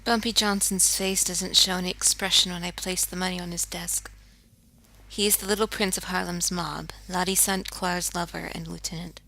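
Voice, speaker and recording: An actress voicing a grieving jazz singer who narrates her own story in a quiet, bitter, world-weary way, often sinking to a whisper. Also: lowish voice, flat delivery, moderate pace, vocal fry, full character voice, rough recording. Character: grieving, haunted woman jazz singer seeking revenge. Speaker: game character. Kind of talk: scripted game voice acting, confessional narration. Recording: faint music underneath.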